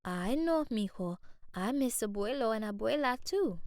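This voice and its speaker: A woman voicing a kind, calm young mother who speaks softly and comfortingly in a storybook reading. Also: fairly high pitch, normal speed, no echo, studio quality, voice pushed down. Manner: soft and comforting.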